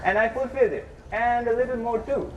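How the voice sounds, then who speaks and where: A man's voice: very high voice, a little fast, dull audio. A man playing an aging, put-upon father in an amateur stage play, bitter and self-pitying, with stilted, declamatory delivery. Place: school stage performance, recorded from a distance. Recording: distant mic, noisy hall recording.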